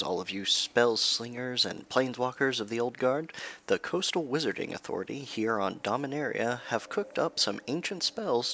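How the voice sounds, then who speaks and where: A man's voice: mid-range voice, gravelly, pushed and bright, a little hiss or hum. A man playing an earnest news anchor in a fantasy radio news parody, with a polished, presentational delivery.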